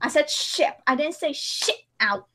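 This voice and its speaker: A woman's voice: high-pitched, animated, a little slow, sharp tone. A woman chatting casually on a livestream in a playful, joking way. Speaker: livestream host editing a dramatic reading. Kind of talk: casual chat.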